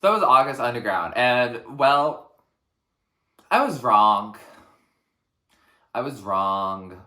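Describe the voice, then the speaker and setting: A man giving casual reaction commentary as a music reaction host, speaking in a reflective, hesitant, halting way in an echoey room. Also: mid-range voice, sing-song, sharp tone.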